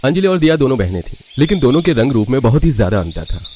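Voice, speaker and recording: A male narrator with a mid-pitched voice tells a scripted cartoon family story. Some light noise sits behind the voice. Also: big pitch swings, quick pace, warm tone.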